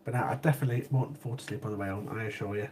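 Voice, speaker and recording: A man's voice: on the low side, fairly even intonation, very fast, darkish tone, a little hiss or hum. Sniffly, chatty man. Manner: chatty, repeats himself, laughs easily. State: sniffly with a cold. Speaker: livestream host. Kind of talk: casual chat with live chat viewers.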